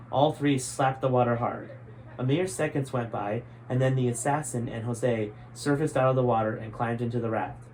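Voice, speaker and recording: A man's voice: mid-range voice, a little mellow, slight background noise, low-quality recording. A man reading his own book aloud as scripted story narration.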